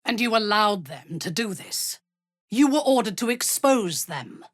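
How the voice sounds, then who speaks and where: An actress playing a haughty, ruthless female dark elf warlord in a video game, imperious and formal, with a breathy, husky voice. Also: medium pitch, animated, no room sound, studio quality, lightly acted. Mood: stern. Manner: imperious, formal, deliberate, coldly menacing. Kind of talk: video game character dialogue.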